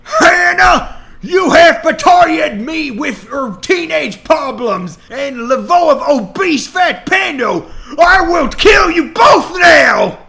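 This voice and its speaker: A man's voice: extremely high pitch, sing-song, takes it slowly, gravelly, voice pushed up, exaggerated voice. Man doing a bellowing, vengeful villain father. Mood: enraged. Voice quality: strained shouting.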